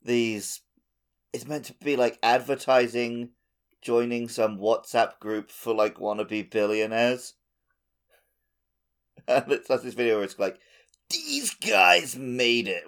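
A man's voice: on the low side, unhurried, a bit bright. A man co-hosting a podcast, talking casually about a video game. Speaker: podcast co-host.

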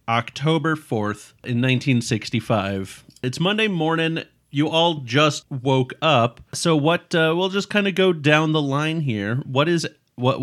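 A man acting as game master, narrating scenes and questioning players in a relaxed, wry way. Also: fairly high pitch.

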